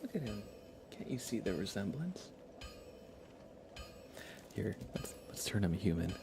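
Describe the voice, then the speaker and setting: A man's voice: fairly low pitch, sing-song, a little slow, creaky, noisy background. A man hosting a casual ASMR game livestream, chatty and informal, sometimes slipping toward a whisper. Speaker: livestream host.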